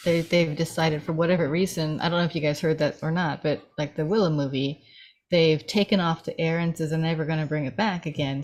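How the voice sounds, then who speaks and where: A woman's voice: sits low, a little mellow. A woman chatting casually about films as a participant in a table read.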